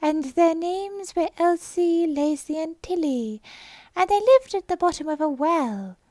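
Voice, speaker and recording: A woman plays a feeble little storybook dormouse, a drowsy male creature. The voice is hoarse and pitched high, and the words come out slowly, drawn out with sleep. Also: expressive, mellow, dry recording. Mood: sleepy storytelling. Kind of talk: storybook character voice.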